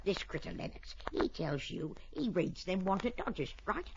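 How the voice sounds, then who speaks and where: Folksy old-timer, noisy recording. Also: female voice, on the low side, very animated, moderate pace. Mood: indignant. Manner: folksy, drawling, emphatic. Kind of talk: old radio Western acting.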